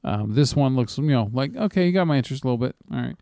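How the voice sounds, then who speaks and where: A man chatting casually as co-host of a movie review show, profane, halting and often repeating himself. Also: mid-range voice, lively intonation, dark tone, clear, resonant tone, dry recording, clean studio sound. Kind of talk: casual chat, film commentary.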